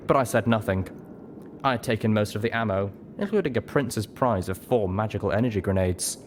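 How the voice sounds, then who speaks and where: Low-voiced, very animated male story narrator. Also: a little hiss or hum. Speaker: audiobook reader. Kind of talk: expressive first-person scripted narration.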